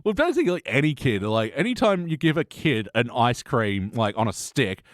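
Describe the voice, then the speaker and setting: A man hosts a casual review podcast with a very animated, swinging delivery. He talks freely and laughs easily between thoughts. The sound is close and dry, with little room on it. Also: medium pitch, normal speed, no echo. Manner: chatty, laughs often while talking. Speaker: podcast host.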